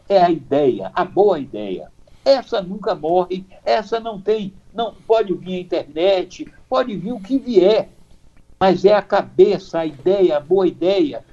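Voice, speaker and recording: A man's voice: sits high, dark tone, lo-fi sound. An older man, an advertising veteran, talking emphatically as an interview guest and often checking that he is understood. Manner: emphatic, keeps asking "entendeu?". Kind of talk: casual interview talk.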